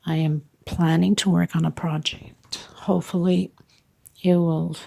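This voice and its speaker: A woman with a blocked-up nose gives a casual tutorial. Her voice is lowish and very creaky, with little rise and fall. She explains in an informal, slightly hesitant way. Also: level tone, unhurried, mellow. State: congested, stuffed-up nose. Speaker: host of crochet tutorials.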